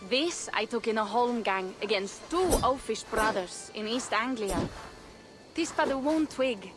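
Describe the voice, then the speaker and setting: A woman's voice: fairly high pitch, animated, measured pace, music in the background. A woman playing a bold, persuasive Norse warrior in a dramatic video game, speaking in formal, old-fashioned phrases. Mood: proud, eager. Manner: declarative, archaic formal phrasing.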